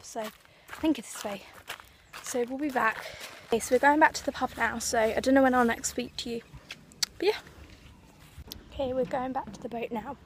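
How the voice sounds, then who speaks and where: A teenage girl talks into a phone held at arm's length while out walking by a marina. Her voice sits a little high, and she rambles along with plenty of "um" and "like." The outdoor recording carries clear background noise. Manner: rambling, lots of "um" and "like". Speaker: vlogger. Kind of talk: casual vlog chat. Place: outdoors at a sunny marina and woodland path.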